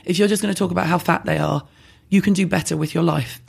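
A husky-voiced woman speaking bluntly and animatedly as a podcast guest in a candid conversation. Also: on the low side, fast. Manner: blunt, animated, self-deprecating. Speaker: skincare blogger guest.

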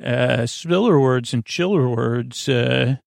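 A man hosting a bedtime podcast, rambling in a halting, meandering way and often trailing off mid-thought. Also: medium pitch, no room sound, studio quality. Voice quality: creaky, gravelly. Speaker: podcast host.

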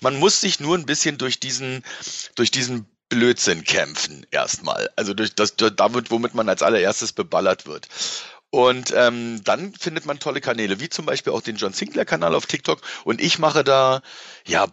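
A man's voice: medium pitch, expressive, normal speed, a bit bright, no room sound, lo-fi sound. A middle-aged man chatting casually as an interview guest, explaining things in a colloquial, rambling way. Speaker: podcast guest, musician and entertainer.

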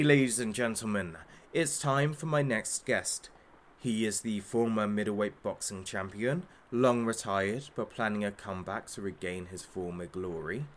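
Mocking masked villain, theatrical game-host patter, highly varied intonation, lowish pitch, audio drama. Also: male voice. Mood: gloating, mocking. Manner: theatrical, mocking showman, taunting. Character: masked male kidnapper hosting a sadistic hostage talk show. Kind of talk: audio drama, parody talk show hosting.

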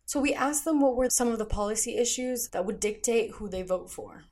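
A woman hosting a news podcast, reading scripted narration in a measured, even way. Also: a little high.

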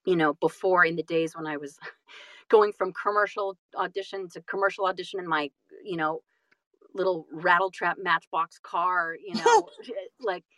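A woman talking reflectively as an interview guest, animated and self-deprecating, often halting and repeating phrases for emphasis. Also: on the low side, no echo, low-quality recording. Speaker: guest, audiobook narrator and voice-over artist.

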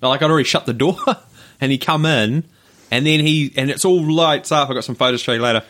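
A man's voice: medium pitch, fast, a bit bright. A man with a New Zealand accent chatting casually as the co-host of a podcast. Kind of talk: casual chat.

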